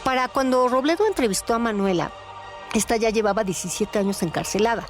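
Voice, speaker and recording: A woman, narrating scripted stories and commentary in a theatrical, deliberate manner. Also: fairly high pitch, soft background music. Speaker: narrator and host of a radio storytelling series.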